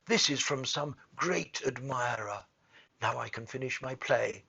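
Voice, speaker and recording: A man voicing a poor young male student who writes plays, exclaiming excitedly in a storybook reading. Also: medium pitch, measured pace, vocal fry, breathy edge, muffled recording, heavily acted. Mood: elated.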